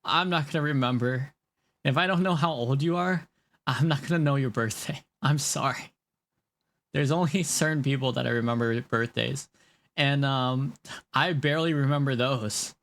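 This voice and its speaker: A young man in his late twenties streams live and chats loosely with his viewers while he works on a drawing. His mid-range voice has a gravelly, creaky edge. He rambles, loses his train of thought and laughs easily. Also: mid-range voice, moderate pace, a bit bright. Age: 20s. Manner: rambling, chatty, laughs often, talks to chat.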